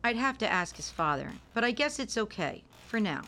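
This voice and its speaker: A weary, anxious mother of a premature baby in a radio drama. Her voice sits at a middle pitch. She speaks gently and earnestly, with some hesitation. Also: sing-song, normal speed, vocal fry, heavily acted. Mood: worried, stressed. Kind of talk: radio play dialogue.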